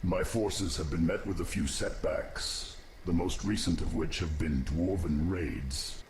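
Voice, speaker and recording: An extremely low-voiced undead commander in a fantasy game, acted by a man. He is grim and disciplined, giving measured, formal orders over faint noise. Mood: dutiful. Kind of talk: video game cutscene acting.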